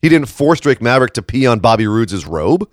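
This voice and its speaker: A man's voice: mid-range voice, big pitch swings, a little fast, dry recording. Guy podcasting with a froggy morning voice, casual but emphatic, close dry sound. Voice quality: froggy, slightly hoarse voice. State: tired froggy morning voice after a late shift. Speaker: podcast host. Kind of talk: casual wrestling commentary chat.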